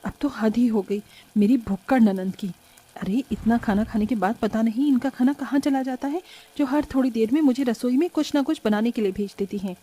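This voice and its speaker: A woman's voice: on the high side, fairly quick, dark tone, slightly rough. A woman voicing an overworked, long-suffering young housewife in a cartoon moral story, plaintive and dutiful. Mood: exasperated.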